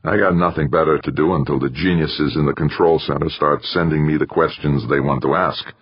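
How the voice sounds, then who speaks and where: A man's voice: very deep voice, steady tone, a little fast, slightly rough, no room sound. A man voicing a stranded space explorer, colloquial and matter-of-fact, in an audiobook with dull sound. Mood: resigned. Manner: colloquial, plain-spoken. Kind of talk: audiobook character dialogue.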